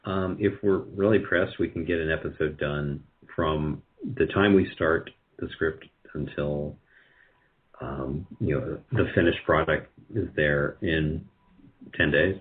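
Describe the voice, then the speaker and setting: Male radio guest, middle-aged, deep register, hesitant and self-effacing, conversational, muffled low-fidelity sound. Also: unhurried. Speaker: guest, radio drama producer. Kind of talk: conversational interview answers.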